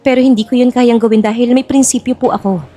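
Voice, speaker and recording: A woman's voice: fairly high pitch, steady tone, fast talker, mellow, faint music behind, lightly acted. A woman playing a polite, principled young bride-to-be in an audio drama, formal and composed. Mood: composed, firm.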